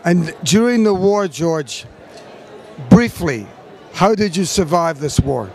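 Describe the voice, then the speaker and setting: A man's voice: a little high, expressive, takes it slowly, creaky, darkish tone, some chatter behind. A man interviewing an older guest outdoors, asking polite, leading questions. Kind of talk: broadcast interview.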